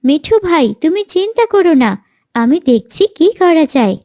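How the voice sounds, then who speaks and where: A woman voicing a gentle, worried mother bird in a cartoon, speaking in a motherly, concerned way. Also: very high voice, very animated, moderate pace, dry recording, studio quality. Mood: concerned, reassuring.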